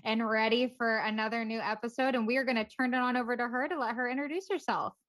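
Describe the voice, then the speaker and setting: A woman's voice: a little high, fairly even intonation, fast talker, fairly bright tone. A woman co-hosting a podcast in an upbeat, conversational way. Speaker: podcast co-host.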